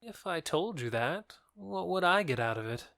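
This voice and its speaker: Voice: medium pitch, lively intonation, moderate pace, gravelly, a bit bright, dry recording, studio quality. An actor playing a lovesick, bitter young man rejected by his beloved, earnest and wounded, in an audio drama. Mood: defensive. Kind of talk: theatrical audio drama acting.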